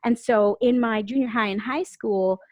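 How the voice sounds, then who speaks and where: Woman from puerto rico, podcast guest, animated and emotional, highish voice, dry close sound. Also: moderate pace, dry recording, dull audio. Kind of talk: candid conversational storytelling.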